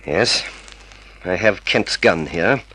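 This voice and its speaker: A man's voice: sits low, animated, takes it slowly, sharp tone, slightly rough, full character voice, poor audio. An Australian actor playing a cold, controlled young man plotting murder with his lover, in a mid-century radio drama style. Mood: cold, businesslike. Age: young adult. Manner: controlled, clipped, commanding.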